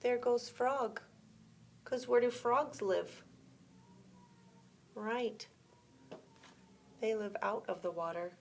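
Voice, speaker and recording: A woman with a fairly high voice reads aloud as a preschool teacher would. She takes her time, explaining things in simple terms and putting questions to her young listeners. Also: slow, long pauses, slight background noise. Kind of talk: read-aloud storytime with asides and questions to young children.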